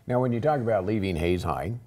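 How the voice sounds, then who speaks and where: A man's voice: on the low side, expressive, quick pace, mellow. An older American man hosting a local TV interview in a studio. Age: older, around 60s. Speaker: TV program host. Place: small TV studio, indoors. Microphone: lapel mic.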